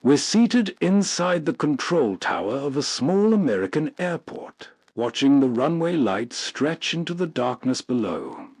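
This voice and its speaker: Higher-voiced man, dry scripted narration. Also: very animated, a little slow, darkish tone, husky, no echo. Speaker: host.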